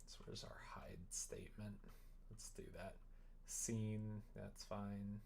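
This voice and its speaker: Casual livestream host, mid-low fry-laden voice, thinking out loud and trailing off, typing audible behind. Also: little pitch movement, slow. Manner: muttering, trailing off mid-thought. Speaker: livestream host coding a visual novel. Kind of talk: casual thinking aloud while working. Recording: noisy room, keyboard typing and clicks. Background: keyboard typing, mouse clicks.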